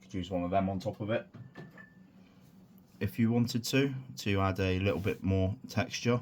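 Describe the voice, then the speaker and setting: A man with a croaky voice from a sore throat, talking through a hobby tutorial in a relaxed, rambling way with many fillers. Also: low-pitched, moderate pace, slight background noise. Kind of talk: casual hands-on tutorial.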